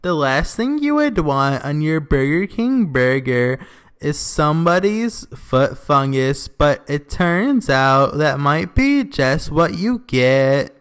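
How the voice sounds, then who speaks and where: A dramatic male narrator counts down strange stories in a slow, loud, theatrical voice, as a young man puts him on. His pitch sits well above his natural voice, and every line lands like a reveal. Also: very animated, smooth, exaggerated voice.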